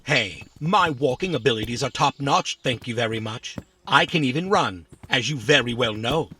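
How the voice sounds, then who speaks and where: A sharp, raspy little robot. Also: male voice, medium pitch, animated, moderate pace. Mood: indignant, proud. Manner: indignant, literal, boastful. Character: small anxious proud childlike robot. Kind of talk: children's audio drama acting.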